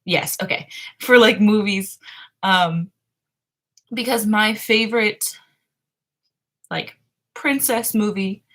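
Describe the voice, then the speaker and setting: A woman's voice: medium pitch, slow pace, long pauses, a bit bright. A young woman in her twenties chatting casually on a morning livestream from her bedroom, laughing often and trailing off with fillers. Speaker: livestream host. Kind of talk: casual chat with chat readers. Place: small home bedroom, indoors. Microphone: webcam framing at desk.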